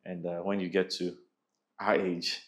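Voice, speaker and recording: A man hosting a sit-down interview asks his guest one question after another at a measured pace. His voice is fairly low. He often repeats a word or two before settling on his phrasing. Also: little pitch movement. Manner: measured, repeats words while finding phrasing. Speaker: interview host.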